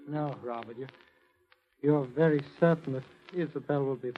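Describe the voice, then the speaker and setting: A man's voice: medium pitch, normal speed, lightly acted. An actor playing a sensitive, troubled, deferential young man in a 1940s radio drama, speaking hesitantly and earnestly. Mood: anguished. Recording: dull vintage 1940s radio recording.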